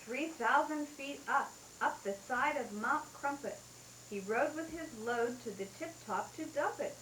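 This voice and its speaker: A woman's voice: high-pitched, unhurried, fairly bright tone, echoey room, slight background noise, rough recording. A mother reading a rhyming bedtime story aloud in a sing-song way, taped at home.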